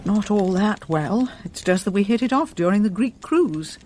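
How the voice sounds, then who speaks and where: An actress playing an inquisitive, opinionated middle-aged friend of a detective in a radio drama, with a brisk, wry English manner and accent. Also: female voice, mid-range voice, lively intonation, darkish tone, slight background noise, lightly acted.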